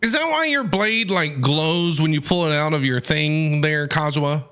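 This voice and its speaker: A man giving casual playthrough commentary as a game streamer, excitable and slangy. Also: on the high side, moderate pace, smooth. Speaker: game streamer reacting to a story quest.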